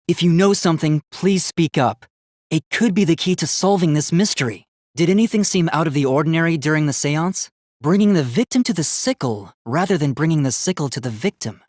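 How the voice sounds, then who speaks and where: A man's voice: a little high, no echo, studio quality, lightly acted. A man voicing an earnest, polite young robot student in a video game, with formal, precise, logical speech that gets easily flustered.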